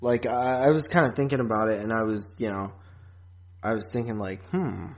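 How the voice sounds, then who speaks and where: A man's voice: fairly low pitch, steady tone, brisk. A man hosting a podcast, chatting casually about films and often restarting his thoughts. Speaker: podcast host. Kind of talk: casual film review chat.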